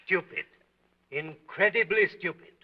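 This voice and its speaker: An actor playing a stern police prefect who questions witnesses in a dry, clipped manner, in a 1930s film. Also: male voice, sits high, measured pace, slightly rough. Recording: dull early-1930s film soundtrack.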